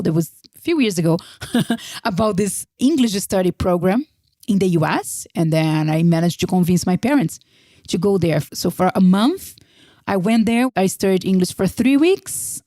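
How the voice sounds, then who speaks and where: Brazilian woman, lively podcast guest. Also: lowish voice, dry recording, studio quality. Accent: Brazilian Portuguese. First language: Portuguese. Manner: enthusiastic, says "right?" and "like" often. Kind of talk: casual interview talk.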